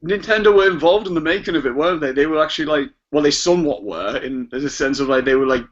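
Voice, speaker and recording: A man's voice: on the high side, quick pace. A man chatting casually as a podcast guest, hesitant and repeating his words as he goes. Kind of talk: casual chat. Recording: muffled, lo-fi podcast audio.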